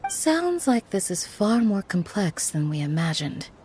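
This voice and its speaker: An actress playing a calm, protective female guardian who speaks earnestly and reassuringly in a video game. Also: medium pitch, a little slow, noisy background. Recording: game music behind.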